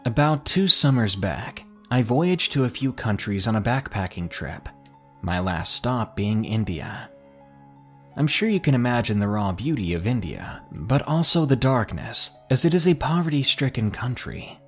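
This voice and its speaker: A man narrating true ghost stories as a podcast host, in a measured, suspenseful storytelling manner. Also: medium pitch, big pitch swings, fairly bright tone, slightly rough, faint music behind. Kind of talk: scripted horror story narration.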